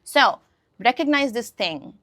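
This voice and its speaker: A woman's voice: fairly high pitch, expressive, unhurried, fairly bright tone, no room sound. A woman whose first language is Hindi gives a casual English lesson, often checking in with her listeners. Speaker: English teacher. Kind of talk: casual spoken lesson to camera.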